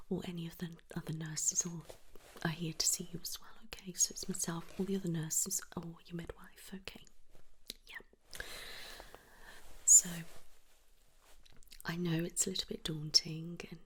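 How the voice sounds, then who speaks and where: A woman's voice: fairly low pitch, slight background noise. A woman whispering soothingly and reassuringly as a nurse in a one-sided ASMR roleplay.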